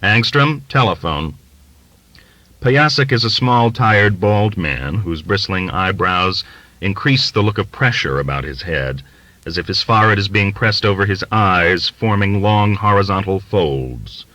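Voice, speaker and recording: A man reading literary fiction as an audiobook narrator, with an expressive, deliberate, dramatic delivery. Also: low voice, dry recording, poor audio.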